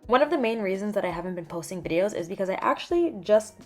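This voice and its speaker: A young woman who runs a small skincare business, chatting candidly in a vlog voiceover, her voice swinging lively in pitch and loudness. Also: fast. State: just over laryngitis. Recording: voiceover with faint background music.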